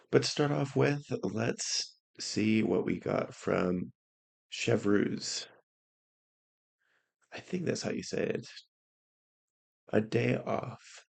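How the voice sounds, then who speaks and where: A man hosting casual let's-play commentary, hoarse from illness, laid-back and clearing his throat often. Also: fairly low pitch, drawn-out words, long pauses. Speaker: gameplay host.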